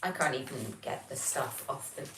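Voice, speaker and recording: British female streamer, low register, informal and chatty, recorded at a distance with background noise. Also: moderate pace. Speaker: livestream host. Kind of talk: casual livestream chat, reading out viewer comments. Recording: roomy, distant mic, noticeable background noise.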